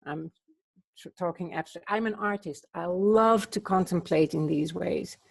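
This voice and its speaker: Slow, husky woman musing contemplatively. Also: fairly low pitch. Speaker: book club co-host. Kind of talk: contemplative spiritual discussion.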